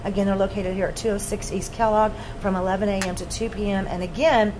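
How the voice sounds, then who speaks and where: A middle-aged woman hosting a casual group chat at a diner table, effusive and quick to laugh. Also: mid-range voice, steady tone. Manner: bubbly, effusive, laughs often. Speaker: talk show host. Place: diner, seated at a long table with guests. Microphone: table microphones. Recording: noisy diner room.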